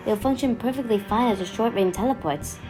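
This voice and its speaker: A woman's voice: a little high, brisk, a little mellow, faint music behind, natural voice, rough recording. A woman playing a no-nonsense, impatient time-travelling commander in an audio drama, curt and businesslike as she explains. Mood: businesslike briefing.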